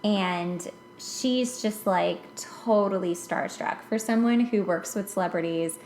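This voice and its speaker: A woman's voice: medium pitch, slow, darkish tone. Female podcast host, casual and chatty, roomy sound with some background noise. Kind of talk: casual conversational discussion.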